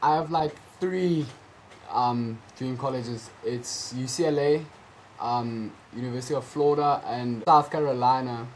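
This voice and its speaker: A teenage man with a South African accent and Afrikaans as his first language talks over a video call. He answers casually and haltingly, filling pauses with ums. A faint hum of background noise sits under his voice. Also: mid-range voice. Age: teens. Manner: hesitant, lots of ums, friendly. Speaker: guest, junior tennis player.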